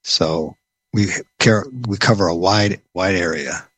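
A man presenting a program at a meeting, speaking in a halting way with many pauses and restarts. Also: sits low, fairly even intonation, fairly bright tone, no room sound. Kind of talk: informal briefing.

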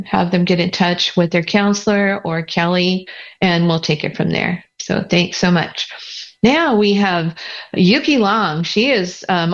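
A woman moderating a meeting, chatty and encouraging as she introduces speakers. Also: mid-range voice. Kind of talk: conversational moderating. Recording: dull lo-fi call audio.

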